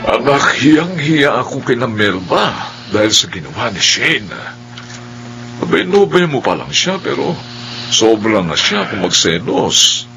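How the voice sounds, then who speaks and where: Lowish voice, animated pitch, grave advising father, radio play, faint noise. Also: a little slow, heavily acted. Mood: worried, advising. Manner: grave, cautioning. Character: concerned, advising father, embarrassed by son's girlfriend. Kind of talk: Filipino radio drama acting.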